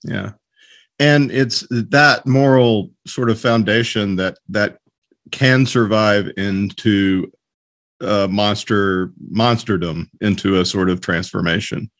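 A man interviewing casually as a podcast host, speaking haltingly as he searches for his words. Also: on the low side, fairly bright tone, no room sound.

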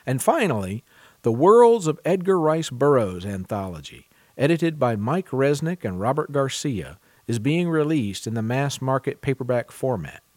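A man's voice: medium pitch, very animated, normal speed, a little mellow, no room sound, clean studio sound. A man presenting a book podcast as its host, reading scripted segments and putting questions to a guest. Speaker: podcast host, contributing editor. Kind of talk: scripted podcast introduction and news reading, then interviewing.

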